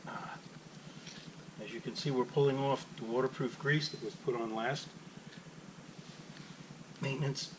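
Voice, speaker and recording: A man's voice: mid-range voice, steady tone, a little slow, long pauses. Man giving a hands-on tutorial, noisy recording. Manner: unscripted, instructional. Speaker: boat maintenance demonstrator. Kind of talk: casual hands-on tutorial narration. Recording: clearly noisy ambient background.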